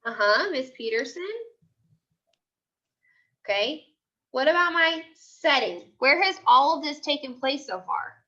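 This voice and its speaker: Bright, high-voiced woman teacher. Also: animated, highs cut off. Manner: prompting, repeats questions and answers slowly for pupils. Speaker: teacher leading a class read-aloud. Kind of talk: interactive classroom lesson, question and answer.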